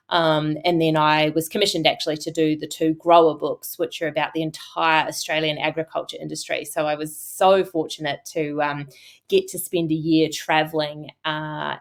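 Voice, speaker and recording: A woman from New Zealand with a Kiwi accent, talking casually as a podcast guest in a studio, with many fillers and restarts. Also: deep voice. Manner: chatty, frequent fillers and restarts. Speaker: podcast guest, agricultural photographer. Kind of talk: casual interview talk.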